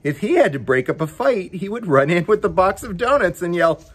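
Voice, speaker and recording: A man with a fairly high, slightly husky voice hosts a children's story time. His pitch swings widely as he reads from a picture book. He breaks off for playful, coaxing asides to his young viewers. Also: lively intonation, a little fast, fairly bright tone. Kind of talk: animated children's picture book read-aloud with chatty asides.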